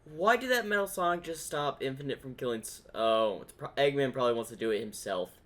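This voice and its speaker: A man's voice: mid-range voice, lively intonation, brisk, a bit bright. A man giving casual reaction commentary as a video host, thinking aloud as he speculates.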